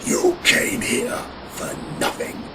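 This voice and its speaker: An actor playing a menacing, taunting vampire who accuses and interrogates in a theatrical audio drama. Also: male voice, far from the mic, background noise, close to an everyday voice. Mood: scornful. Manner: taunting, theatrical, slow deliberate delivery. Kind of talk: fan audio drama acting.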